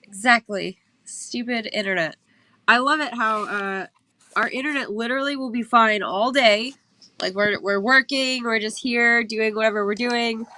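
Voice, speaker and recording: Stuffy-nosed chatty woman, bright tone. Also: a little high, normal speed. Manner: chatty, laughs often, apologetic about tech trouble. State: stuffy from allergies, sniffling. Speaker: livestream host. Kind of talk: casual chat. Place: indoor studio. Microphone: phone.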